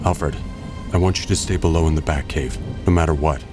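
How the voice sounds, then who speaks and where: A man plays a brooding vigilante detective in an audio drama, with a very low voice. He is stern, guarded and restrained, with faint music underneath. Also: fairly even intonation, fairly quick. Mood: grave concern. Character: grave, guarded male vigilante billionaire detective hero.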